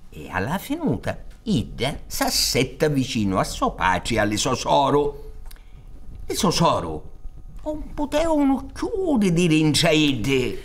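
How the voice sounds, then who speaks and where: A man's voice: on the high side, slow pace. A man in his sixties with a Sicilian accent narrating a folk tale in an emphatic, theatrical way. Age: 60s. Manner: emphatic, expressive, gesturing. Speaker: storyteller. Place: theater stage. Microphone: close studio microphone on stand.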